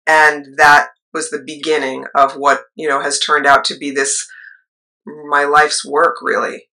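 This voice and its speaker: Low-voiced middle-aged woman, bright tone. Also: flat delivery, unhurried, poor audio. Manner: rambling, with asides and trailing thoughts. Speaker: choir leader and actress. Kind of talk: interview storytelling.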